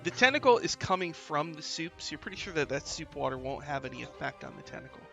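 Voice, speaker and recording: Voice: medium pitch, lively intonation, quick pace, gravelly, fairly bright tone, soft background music. A game master narrating a tabletop fantasy game in a casual, conversational, improvised way.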